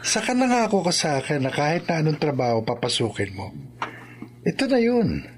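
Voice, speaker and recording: Sly pushy crook pal in radio drama, highish lively voice, faint noise. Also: male voice, moderate pace. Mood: goading. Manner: goading, chuckling, self-assured. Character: sly, pushy criminal buddy, coolly egging on a friend. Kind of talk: Filipino radio drama acting.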